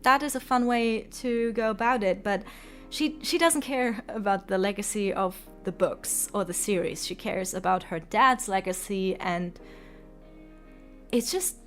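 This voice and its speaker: A woman's voice: mid-range voice, unhurried, fairly bright tone, soft background music. A woman giving opinionated, casual video commentary in an informal, emphatic way with some swearing. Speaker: video essayist.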